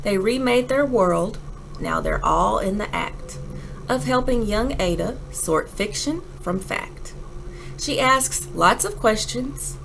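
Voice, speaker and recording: A woman reading a children's storybook aloud like a teacher, with dramatic pauses and emphatic phrasing. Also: mid-range voice, lively intonation, gravelly, fairly bright tone, a little hiss or hum, poor audio. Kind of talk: expressive children's read-aloud, rhyming storybook narration.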